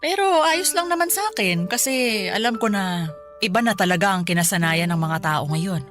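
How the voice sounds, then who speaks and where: An actress playing a kindly middle-aged mother in a radio drama, talking in a gentle, modest way. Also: mid-range voice, lively intonation, normal speed, soft background music, lightly acted. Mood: warm, reflective. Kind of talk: Tagalog radio drama acting.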